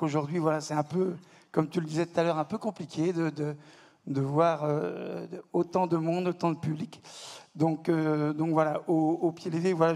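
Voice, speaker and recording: A man speaking on a conference panel as a network delegate, halting, with many repeated words and fillers. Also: on the high side, a little mellow. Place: conference hall.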